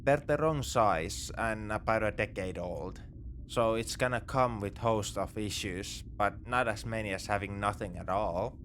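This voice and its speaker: Man acting a low-voiced, roguish space pirate. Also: a little slow, fairly bright tone, a little hiss or hum. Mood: wry, defensive. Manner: casual, wry, coaxing. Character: roguish, morally grey male space pirate, casually reassuring captor. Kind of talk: second-person sci-fi ASMR roleplay acting.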